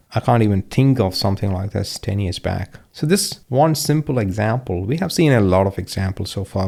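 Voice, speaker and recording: A middle-aged Indian man with a low voice and a gravelly edge teaches a programming course over screen share. His tone is dark and mellow, and he walks through each step conversationally, adding asides as he goes. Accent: Indian English. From Kerala, India. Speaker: course instructor. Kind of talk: screen-share coding tutorial.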